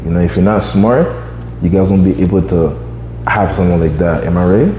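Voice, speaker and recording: Man in his twenties with a low, dark voice. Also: brisk, echoey room, long reverb tail, a little hiss or hum. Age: 20s. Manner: rambling, many fillers like "you know what I mean". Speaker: podcast host. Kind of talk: casual podcast chat.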